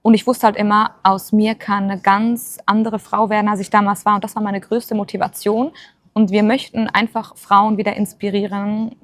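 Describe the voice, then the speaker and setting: A woman's voice: mid-range voice, dry recording. A woman in her late twenties talking earnestly and encouragingly in a casual chat, with many filler sounds. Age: 20s. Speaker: co-host.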